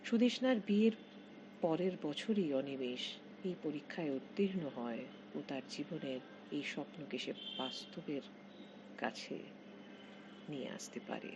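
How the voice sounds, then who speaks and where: A woman narrating a scripted literary short story in measured, expressive phrases with pauses. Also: sits low. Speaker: author reading own short story. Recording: dull lo-fi audio with heavy noise.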